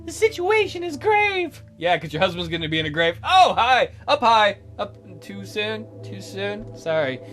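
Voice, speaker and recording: A woman's voice: medium pitch, expressive, normal speed, hardly any pauses, a bit bright. A woman doing a game playthrough, reading text aloud and adding wry, sarcastic asides. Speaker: let's player. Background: game music.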